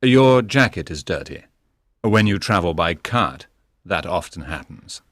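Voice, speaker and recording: A man acts out a courteous gentleman detective from a storybook. His voice sits low and moves with very lively intonation. He is measured, polite and reassuring, recorded close and dry. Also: no room sound. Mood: calm, knowing. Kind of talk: dramatised audiobook reading.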